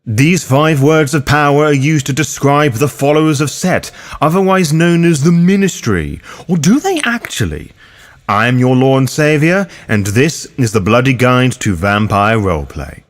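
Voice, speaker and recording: A man's voice: mid-range voice, animated, normal speed. A man narrating a scripted roleplaying guide as its host, theatrical and formally worded, with playful asides. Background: background music.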